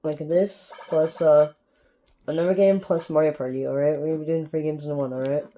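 A young man from Canada giving rambling, casual gameplay commentary with a sore, strained throat, recorded on a phone filming a TV in a dark room at home. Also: on the high side, steady tone, fairly quick, muffled recording. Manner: rambling, keeps asking "alright?". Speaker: gaming YouTuber.

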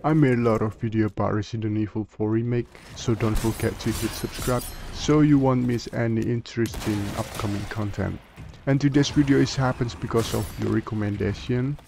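A man's voice: fairly low pitch, steady tone, fairly quick, gravelly, hardly any pauses, noisy background. A man reading scripted narration as a video creator.

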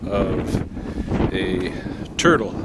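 A middle-aged man talking casually outdoors into a close camera, halting and reflective, with long pauses as he thinks aloud. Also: low-pitched, animated. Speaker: vlogger on a night hike. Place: outdoors at night, open field. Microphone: camera held close to face. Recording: windy outdoor camera mic, noisy. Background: wind noise.

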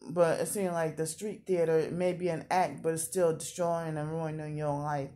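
A woman's voice: deep voice, flat delivery, moderate pace, dark tone. A woman in her thirties talking casually in a confessional vlog, rambling and repeating herself. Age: 30s. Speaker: vlogger.

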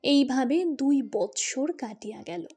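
A woman's voice: sits high, expressive, slow, dark tone, slightly rough. A woman reading a literary story expressively, as an audiobook narrator. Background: music.

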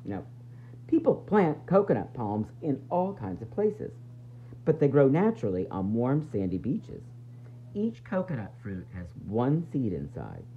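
A man's voice: mid-range voice, narrow, tinny audio, a little hiss or hum. A man reading a picture book aloud to young children like a teacher, with dramatic emphasis and playful asides.

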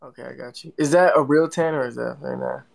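Brief: young livestream host, chatty, thanks gifts one after another, teasing. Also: male voice, medium pitch, fairly even intonation, fast talker, vocal fry. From Florida. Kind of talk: casual livestream chat. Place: indoor room. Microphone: phone livestream.

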